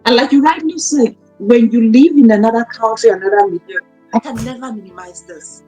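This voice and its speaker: Emphatic woman, higher voice. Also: fairly quick, dark tone, faint music behind, poor audio. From Cameroon. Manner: emphatic, repeats her points for stress. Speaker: guest, academic. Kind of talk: conversational interview.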